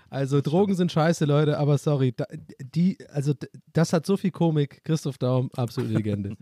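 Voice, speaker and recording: German podcast guy, fairly high voice, rambles and laughs a lot, dry close mic. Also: a little mellow, dry recording. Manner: joking, rambling, laughs often. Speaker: podcast co-host. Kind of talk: casual chat.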